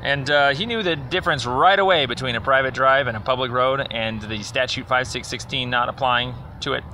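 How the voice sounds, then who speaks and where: Male caller, mid-pitched and forceful, confrontational and sarcastic, rambling accusatory voicemail, slight noise behind. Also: fairly quick. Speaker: caller leaving a voicemail complaint. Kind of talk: rambling phone message, accusatory monologue.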